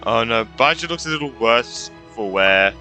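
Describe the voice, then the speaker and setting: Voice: mid-range voice, lively intonation, moderate pace, creaky, sharp tone. A gamer commenting casually on a playthrough and reacting aloud to the dialogue. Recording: game music behind the voice. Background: game music.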